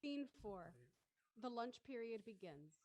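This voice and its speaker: A woman's voice: a little high, animated, a little slow, a little mellow. A woman reading stage directions as the narrator of a scripted drama.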